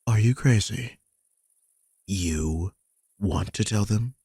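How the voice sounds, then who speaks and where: Guy acting as old vampire dude, low raspy voice, sarcastic n grumpy but protective, sweary, super dry audio roleplay sound. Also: slow pace, mellow, no echo, heavily acted. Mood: defensive, sardonic. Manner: sardonic, informal, swears freely. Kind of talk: intimate scripted audio roleplay.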